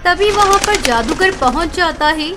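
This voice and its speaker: A woman narrator reads a scripted children's tale in an extremely high voice. There is clear noise and ambience behind the voice. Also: expressive, moderate pace.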